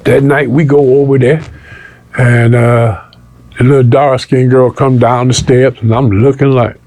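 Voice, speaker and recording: A man's voice: mid-range voice, a little slow, no echo. A man telling a story casually in an interview, slangy and streetwise, acting out the dialogue as he goes. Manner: animated retelling, acting out quoted dialogue.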